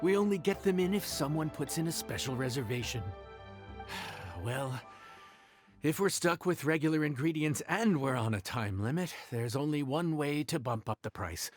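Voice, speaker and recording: An actor playing a proud, grumbling inn chef in a fantasy video game, weary and exasperated. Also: male voice, fairly high pitch, lively intonation, normal speed, lightly acted. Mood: resigned, resourceful. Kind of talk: English video game voice acting. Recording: music behind the voice.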